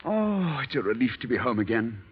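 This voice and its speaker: An adoring but condescending husband in a period radio play. His delivery is theatrical and widely swinging, with a husky edge, on a dull vintage recording. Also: medium pitch, big pitch swings, fairly quick. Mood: ardent. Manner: patronizing, ardent, theatrical. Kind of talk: 1930s radio acting. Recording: muffled old radio drama recording.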